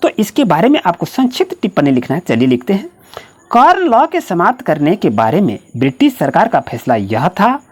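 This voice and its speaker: Female online tutor. Slightly low register, very wide pitch movement, instructional step-by-step dictation to pupils. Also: wildly swooping pitch, fairly quick, slightly rough. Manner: directive, repetitive step-by-step explaining. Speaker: online school history teacher. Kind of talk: instructional lesson, dictating answers to students.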